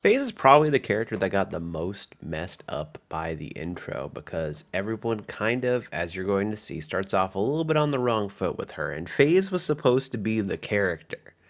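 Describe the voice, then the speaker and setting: A man with a fairly low voice talks casually as a tabletop game master. He rambles in a self-deprecating way, restarting his phrases as he goes. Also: very animated, moderate pace, few pauses.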